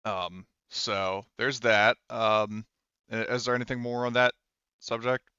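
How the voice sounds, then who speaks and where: A man's voice: mid-range voice, fairly even intonation, measured pace, bright, forceful tone, clear, resonant tone, dry recording, lo-fi sound. A man working as game master, casually improvising narration for a tabletop roleplaying game.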